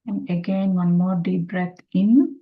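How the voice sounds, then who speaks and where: Female meditation guide: very unhurried, soft-edged husky voice, calm and soothing, short phrases with pauses. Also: fairly low pitch, phone-quality sound. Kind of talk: slow guided meditation instruction. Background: meditation music.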